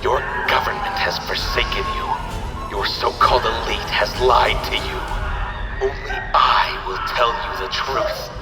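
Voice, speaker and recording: An actor playing a mocking, gleeful serial killer villain in an audio drama, taunting like a sing-song storyteller with grandiose delivery. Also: male voice, medium pitch, measured pace, echoey room, loud music behind the voice, natural voice.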